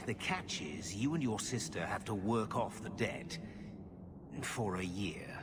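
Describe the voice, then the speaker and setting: A gruff middle-aged fixer uncle, blunt and world-weary, with a fairly low voice. It is video game dialogue over loud background ambience. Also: male voice, lively intonation, measured pace, background noise. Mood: grudging, persuasive. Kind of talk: video game cutscene acting.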